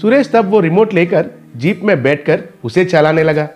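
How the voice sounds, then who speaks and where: A man's voice: high voice, expressive, moderate pace. A man reading scripted children's story narration, with light music underneath.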